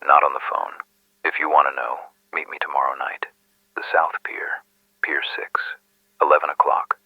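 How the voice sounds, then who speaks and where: A man playing a mysterious, menacing anonymous caller in a noir radio drama, speaking in a breathy, hushed and cryptic whisper. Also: very deep voice, little pitch movement, drawn-out words, no echo, narrow, tinny audio, full character voice.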